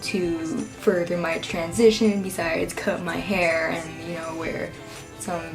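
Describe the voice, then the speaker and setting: A young man introducing himself in an older vlog clip, speaking haltingly with a pause between phrases. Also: fairly high pitch, flat delivery, creaky, music in the background. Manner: halting, hesitant. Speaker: vlogger.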